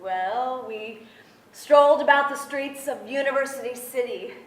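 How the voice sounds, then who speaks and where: A young woman acting a gentle sister and narrator in a careful dramatic staged reading. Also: sits high, unhurried, slight background noise. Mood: fond. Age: young adult. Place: theatre stage before an audience. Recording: echoey theatre, distant microphone.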